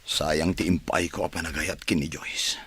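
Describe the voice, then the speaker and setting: A man's voice: extremely low pitch, steady tone, normal speed, fairly bright tone, breathy edge, heavily acted. A man playing a brooding, stern young master of a mansion in an earnest radio drama style. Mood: hurt, troubled.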